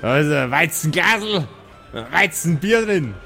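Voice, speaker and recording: A man's voice: a little high, animated, measured pace, vocal fry, pushed and bright, faint music behind, exaggerated voice. Man playing a hearty Bavarian dwarf innkeeper. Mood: hearty, teasing. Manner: folksy, familiar tavern banter. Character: jovial long-bearded dwarf innkeeper, hearty and earthy.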